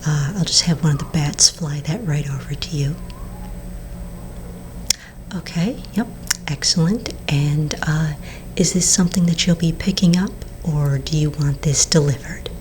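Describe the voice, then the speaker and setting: A woman playing a gracious vampire saleswoman in a soft-spoken ASMR roleplay, whispering breathily with unhurried, hesitant, reassuring patter. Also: deep voice, a little hiss or hum. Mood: helpful, businesslike. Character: gracious undead vampire woman selling coffins.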